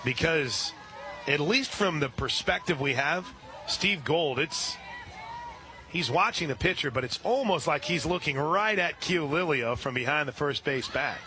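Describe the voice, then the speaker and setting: Man calling softball on the radio. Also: a little high, unhurried, poor audio. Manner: steady play-by-play with brief asides, rising when excited. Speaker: radio play-by-play announcer. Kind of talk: radio sports commentary.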